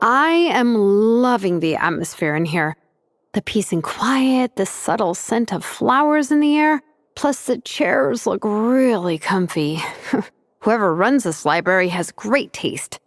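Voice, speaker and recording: A woman's voice: fairly high pitch, no echo. A woman voicing a confident, breezy, nap-loving young woman in an anime-style video game dub.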